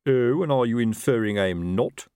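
Man as a grumbling, slow-witted police sergeant. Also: mid-range voice, animated, a little mellow, clean tone, no room sound, clean studio sound, close to an everyday voice. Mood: puzzled, disgruntled. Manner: colloquial, grumbling. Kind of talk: audiobook character voice.

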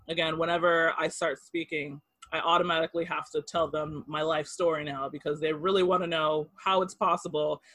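A man in his thirties telling personal stories in an interview, halting with fillers and restarts and laughing easily. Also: fairly high pitch, fairly bright tone, no echo. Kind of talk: casual storytelling interview.

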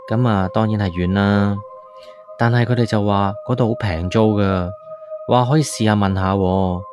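A man's voice: lowish voice, fairly even intonation, moderate pace, natural voice. A man with a Hong Kong accent playing an uneasy city tenant, narrating a ghost story in a conversational, confiding way for a radio drama. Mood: matter-of-fact. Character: uneasy male city tenant moved to an old village house. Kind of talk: first-person ghost story narration, colloquial. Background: background music.

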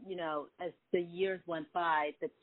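Woman in her fifties, native Korean speaker, soft and hesitant, lots of ums, low voice, tinny dry phone line. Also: steady tone, unhurried, dry recording. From South Korea. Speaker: podcast guest. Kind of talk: casual interview over the phone.

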